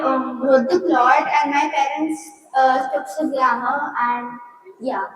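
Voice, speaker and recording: A young female author, describing her book hesitantly with many pauses and ums. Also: high voice. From India. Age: child-author, young. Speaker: guest author. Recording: roomy, echoey hall, from far off.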